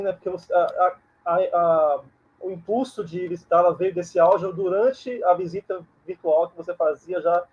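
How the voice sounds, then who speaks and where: Man, husky, fairly high voice, moderator, dull lo-fi call audio. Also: warm tone. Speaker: moderator, film curator and journalist. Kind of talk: online panel moderation.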